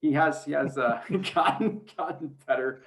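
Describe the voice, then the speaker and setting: A man's voice: on the high side, normal speed, creaky, slight background noise. A man speaking as a guest sports announcer in a casual podcast interview, rambling and hesitant as he thinks aloud.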